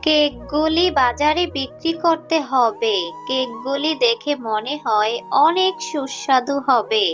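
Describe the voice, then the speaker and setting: A protective cartoon mother fox, played by a woman, with a high voice and a slow, expressive way of speaking. Faint children's music runs underneath. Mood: hopeful. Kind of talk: cartoon voice acting.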